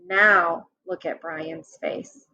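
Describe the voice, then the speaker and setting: A woman teacher reading a picture book aloud to children, gently pausing to ask them questions about the pictures. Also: lowish voice, fairly even intonation. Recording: dull lo-fi recording.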